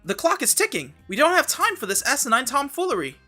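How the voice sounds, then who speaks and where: Girl voicing a flustered kid, young boy, bright voice that swoops all over, webcomic dub. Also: high-pitched, very animated, fast talker, soft background music, heavily acted. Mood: impatient. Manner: exclamatory, flustered. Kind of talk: fan comic dub acting.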